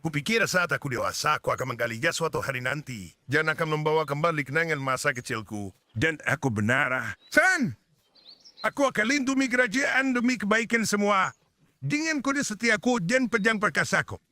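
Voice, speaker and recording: A man voicing a kindly, playful, nostalgic grown-up in a children's cartoon dub, with an expressive, theatrical delivery. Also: fairly high pitch, normal speed, no echo. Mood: playfully heroic.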